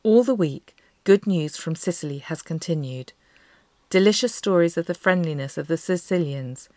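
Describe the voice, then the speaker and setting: A woman's voice: lowish voice, lively intonation, normal speed, a little mellow, no room sound, lightly acted. A woman playing a wry, observant wartime diarist, reading entries aloud. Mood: wry, pleased. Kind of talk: dramatised diary reading.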